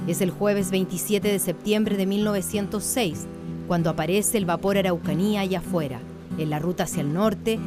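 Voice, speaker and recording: A woman narrating a radio drama in a literary, scripted style. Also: mid-range voice. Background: plucked guitar music.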